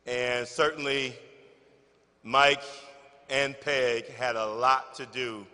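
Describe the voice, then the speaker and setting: A man with a loud voice speaking off-script at a ceremony in a gymnasium, halting with fillers. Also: mid-range voice, fairly even intonation, smooth, big-room sound. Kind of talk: informal ceremony speech.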